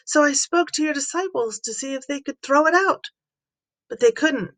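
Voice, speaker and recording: A desperate, pleading father from a scripture story, acted out by a woman narrator, pitched high and urgent. The delivery is imploring and intense, as from a parent begging for help for a child. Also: normal speed, fairly bright tone, no echo. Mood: distressed, urgent.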